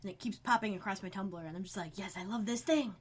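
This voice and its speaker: A woman's voice: mid-range voice, fast talker, husky. Woman podcast co-host, wry and chatty, given to rambling asides. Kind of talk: casual recap chat.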